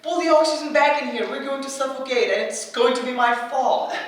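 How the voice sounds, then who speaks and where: A man's voice: extremely high pitch, fairly quick. A man playing an anxious, self-doubting young hero with air powers, fretful and hesitant in a staged reading. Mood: panicked. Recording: echoey room, distant mic.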